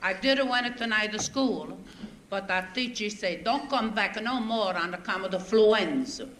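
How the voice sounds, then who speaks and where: An indignant, chatty Italian immigrant woman, voiced by a woman comic tells her stories in thick-accented broken English. Her voice sits in a middle pitch. It carries in a roomy, echoing space with plenty of audience noise around it. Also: animated, unhurried, a bit bright. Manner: indignant, storytelling, quoting others. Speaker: stand-up comedian. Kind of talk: comic character monologue. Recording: echoey stage with audience noise. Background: audience laughter.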